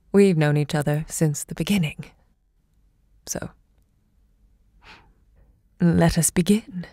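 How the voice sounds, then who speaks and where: An actress playing an elderly American woman writer and literary hostess in an audio drama, speaking in a gracious, deliberate, theatrical way. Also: fairly low pitch, warm tone, no echo, studio quality. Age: older.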